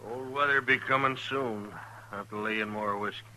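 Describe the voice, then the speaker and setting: An actor playing a guarded, fatalistic frontier station keeper in an old-time radio western, with an American accent and a terse, folksy, stubborn manner. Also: male voice, lowish voice, steady tone, moderate pace, music in the background, lightly acted, rough recording. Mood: plain, dry.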